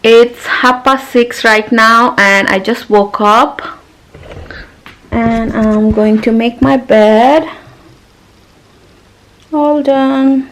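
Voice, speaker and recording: Young woman, nepali first language, highish voice, relaxed chatty home vlog. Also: very slow, pauses often, a little hiss or hum, poor audio. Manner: relaxed, chatty, talks straight to camera. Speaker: vlogger. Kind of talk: casual daily vlog. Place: home, indoors.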